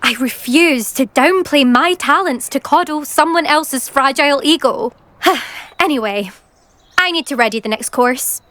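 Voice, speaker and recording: A woman's voice: high-pitched, measured pace, pushed and bright, no echo. A woman playing a boastful, brusque teenage British girl, a competitive broom racer in a video game. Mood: boastful, scornful. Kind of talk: video game character dialogue. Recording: game music behind. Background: game music.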